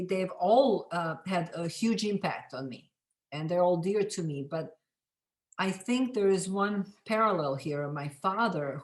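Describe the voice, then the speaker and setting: Serbian-accented woman, halting and reflective. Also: fairly low pitch. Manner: halting, many restarts and fillers. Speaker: film director, interview guest. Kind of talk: reflective interview storytelling. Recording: echoey room.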